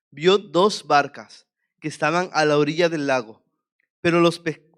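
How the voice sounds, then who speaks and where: A man's voice: a little high, fairly bright tone, clear, resonant tone. A man from Venezuela with a Venezuelan accent, preaching as a guest and reading scripture expressively in a church. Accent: Venezuelan Spanish. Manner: earnest, jovial, reads scripture expressively. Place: church hall.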